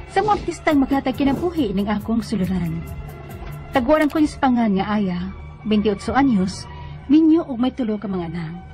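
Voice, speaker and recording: A young Filipino wife with a Cebuano accent in a radio drama. Her voice is high and soft-toned, and she comes across as hesitant and earnest as she recalls her married life. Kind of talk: radio drama acting and first-person narration.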